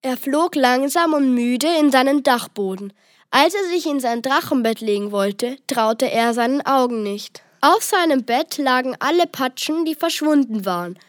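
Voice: sits high, expressive, moderate pace, no echo, studio quality. A child reading scripted narration for a children's story.

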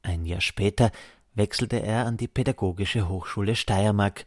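A man's voice: lowish voice, normal speed, no echo, studio quality. A man reading scripted narration as the reporter of a radio feature.

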